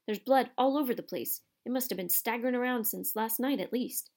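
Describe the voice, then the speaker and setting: A woman voicing a gruff, burly male gamekeeper in an audiobook, growling in rustic dialect with dropped word endings. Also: fairly high pitch, brisk, a little mellow, pitched above the performer's own voice. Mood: serious, instructing. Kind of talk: audiobook character voice.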